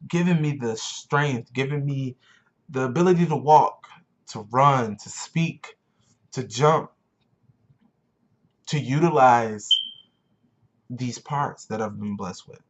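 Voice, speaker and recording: A man's voice: mid-range voice, slow, long pauses, muffled recording. A man in his twenties giving an earnest motivational talk to his vlog audience, often repeating phrases for emphasis. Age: 20s. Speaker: vlogger.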